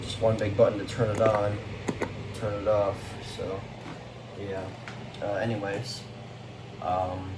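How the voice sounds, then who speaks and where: A man with a slightly low voice talks casually and off the cuff, like a hobby vlogger showing things around his home. His wording is slangy and easily exasperated. He sounds some distance from the mic, with faint noise behind him. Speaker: vlogger showing a radio collection. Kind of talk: casual unscripted show-and-tell. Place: indoors, room at home.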